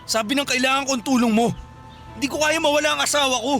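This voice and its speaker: A man's voice: extremely high pitch, fast talker, bright, forceful tone, soft background music, heavily acted. A man playing a desperate, selfish former lover in a Filipino radio drama, pleading and whining insistently. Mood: desperate begging.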